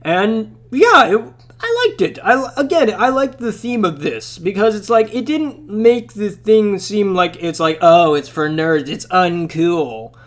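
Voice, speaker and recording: A man's voice: high-pitched, big pitch swings, unhurried, few pauses, a bit bright. A young man chatting casually about a mobile game, rambling with lots of fillers while his voice is going out. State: losing his voice.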